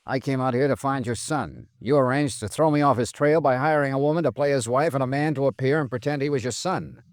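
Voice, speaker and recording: An actor playing a tough, sardonic insurance investigator with an American accent, brisk and assertive, in 1950s radio drama style. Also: male voice, medium pitch, no echo, clean studio sound, natural voice. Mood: firm, challenging. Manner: brisk, hard-boiled, assertive. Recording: restored old radio broadcast.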